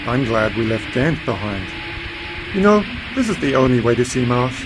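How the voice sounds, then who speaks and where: A man playing an eccentric, knowing uncle and adventurer in an amateur science-fiction radio play, brisk and dismissive. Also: medium pitch, big pitch swings, over music, lightly acted. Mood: assured. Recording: faintly noisy home-made radio play recording.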